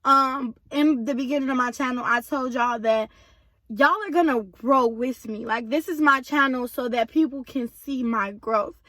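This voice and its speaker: A woman talks straight to her viewers in a loose, chatty way. Her voice sits high and comes across bright and forceful, though a little hoarse. The sound is dry and close. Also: normal speed, no room sound. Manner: chatty, addresses viewers directly. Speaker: vlogger. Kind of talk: casual chat to viewers.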